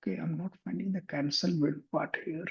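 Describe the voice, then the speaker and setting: A man demonstrating finance software on a shared screen, thinking aloud with frequent restarts. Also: fairly high pitch, fairly even intonation, fairly quick, creaky, breathy edge. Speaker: software instructor. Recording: muffled lo-fi computer mic.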